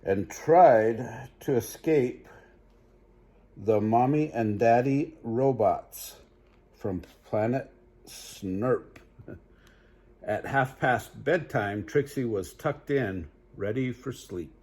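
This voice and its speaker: An older man reads a children's story aloud in a grandfatherly way, pausing to put chatty questions to young listeners. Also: medium pitch, dark tone, slightly rough.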